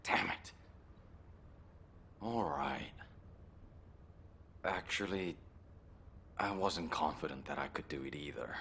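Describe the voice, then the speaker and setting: A man's voice: very deep voice, takes it slowly, gravelly, long pauses, a bit bright, a little hiss or hum, exaggerated voice. An actor playing a stubborn, emotional young male soldier in a video game, earnest and easily exasperated. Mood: resigned. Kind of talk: dramatic video game voice acting.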